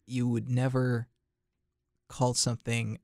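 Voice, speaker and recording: A radio host asks meandering, friendly questions, restarting sentences and trailing off with fillers. The mid-pitched voice has a gravelly fry. It is recorded dry, close to the mic. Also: completely flat delivery, takes it slowly, a little mellow, no room sound. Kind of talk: casual interview chat.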